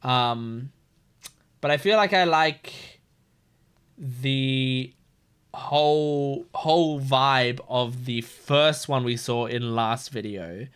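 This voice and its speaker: A man's voice: mid-range voice, extremely slow, a bit bright, clear, resonant tone. A man hosting a reaction video with casual, playful, rambling commentary.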